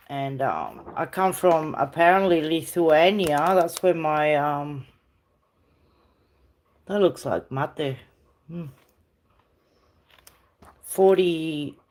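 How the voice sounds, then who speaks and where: Slow-talking chatty woman at home. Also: low voice, a little mellow. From South America. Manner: chatty, talks sweetly to her dog. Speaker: live streamer. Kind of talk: casual home live stream tour. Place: rented house, indoors.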